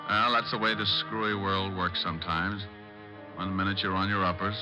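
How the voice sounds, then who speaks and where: An actor playing a breezy, wisecracking American private detective who narrates in wry, slangy 1940s radio style. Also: male voice, deep voice, fairly even intonation, normal speed, over loud music, lightly acted, poor audio. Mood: wry narrating.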